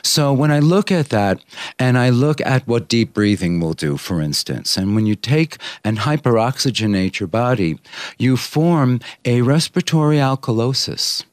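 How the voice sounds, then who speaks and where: A man whose first language is Greek, a yoga teacher talking at length in an interview about anatomy and yoga with a measured, explanatory manner. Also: mid-range voice, animated, dry recording, studio quality. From Greek family. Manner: measured, explanatory, with many uh fillers.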